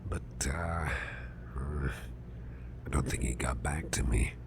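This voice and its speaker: A man playing a gruff, flustered boss in an ASMR roleplay, muttering haltingly in a growly, breathy half-whisper and repeating himself. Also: rumbling low, noisy background, exaggerated voice. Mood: musing, wary. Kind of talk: ASMR roleplay, spoken softly to the listener.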